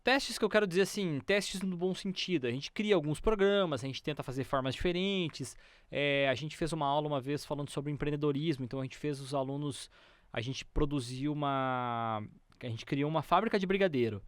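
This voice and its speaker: Brazilian man, higher-pitched podcast host. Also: a little fast, no echo. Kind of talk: conversational interview with anecdotes.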